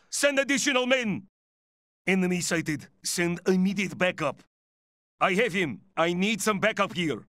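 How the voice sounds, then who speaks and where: Alert, gruff soldier guard, video game barks, forceful delivery, very dry close sound. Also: male voice, high voice, no echo, heavily acted. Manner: terse, barking.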